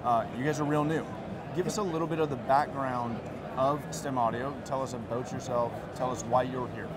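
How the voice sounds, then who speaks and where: A man's voice: medium pitch, steady tone, moderate pace. A man in his thirties hosting an on-location interview at a busy trade show booth. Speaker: interviewer, host. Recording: very loud trade show floor noise.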